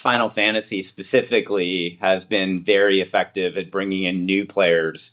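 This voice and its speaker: A man speaking as a company executive, answering questions in measured business jargon on a conference call. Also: sits low, fairly even intonation. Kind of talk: answering analyst questions, semi-formal business talk. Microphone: conference call line. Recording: muffled conference call audio.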